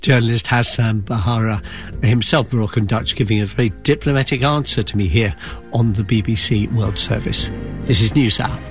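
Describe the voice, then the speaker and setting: A man's voice: on the low side, normal speed, faint music behind. A man, presenting a radio news programme and interviewing.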